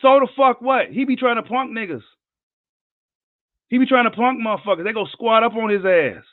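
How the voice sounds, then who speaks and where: Hoarse man ranting hotly. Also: very high voice, normal speed, no echo. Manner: heated, profane, combative ranting. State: hoarse, losing his voice. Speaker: boxing commentator. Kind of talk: live rant vlog. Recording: tinny phone-like line, dry.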